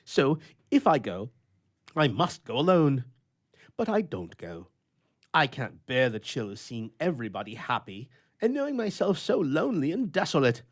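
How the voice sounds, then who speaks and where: A man's voice: mid-range voice, huge pitch swings, normal speed, voice pushed up. A man voicing an irritable, lonely bachelor in a storybook reading, with emphatic, indignant, old-fashioned oratory. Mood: indignant misery.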